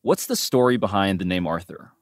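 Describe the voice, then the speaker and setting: A man hosting a podcast, asking measured, leading questions. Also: low-pitched, expressive, no echo. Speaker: podcast host. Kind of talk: interview questions.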